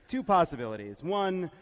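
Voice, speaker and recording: A man's voice: fairly high pitch, sing-song, unhurried. Joking American man in a reverberant hall. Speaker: comic political podcast host. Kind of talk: casual comedic political banter. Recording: echoey hall with long reverb.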